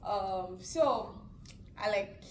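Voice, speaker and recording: A woman's voice: mid-range voice, extremely slow. Chatty young woman hosting, echoey room. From Nigeria. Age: young adult. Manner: chatty, lots of "like" and "you know". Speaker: host. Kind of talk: casual group chat. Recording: echoey room with background hiss.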